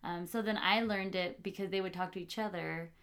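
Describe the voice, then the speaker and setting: A woman's voice: lowish voice, fairly even intonation, fairly quick, a bit bright. A woman hosting a casual podcast conversation. She talks in a chatty way, with plenty of fillers and laughs. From Los Angeles, US. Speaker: podcast host.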